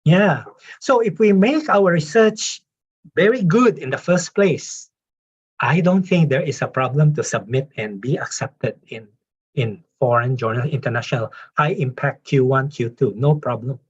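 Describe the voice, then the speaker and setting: Professor telling stories on a muffled call. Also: male voice, medium pitch, measured pace, breathy edge. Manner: anecdotal, with many fillers and tag questions. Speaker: professor and journal editor. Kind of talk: webinar panel remarks.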